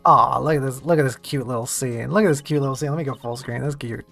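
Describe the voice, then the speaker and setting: Chatty streamer goofing around with chat, kinda gravelly voice, middle pitch. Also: quick pace, a bit bright, faint music behind. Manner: chatty, jokey, talks to chat. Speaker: game streamer. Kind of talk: casual let's-play chat.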